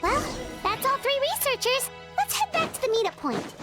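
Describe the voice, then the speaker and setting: A woman voicing a tiny, perky, chatty fairy companion with a childlike, squeaky voice in a video game. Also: big pitch swings, moderate pace. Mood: brisk. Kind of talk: video game character dialogue. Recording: music behind the voice.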